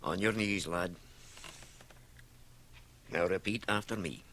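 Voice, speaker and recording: An actor playing a firm, solemn father in a radio drama, measured and authoritative. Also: low voice, fairly bright tone, a little hiss or hum. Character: firm, solemn father mediating between wife and son.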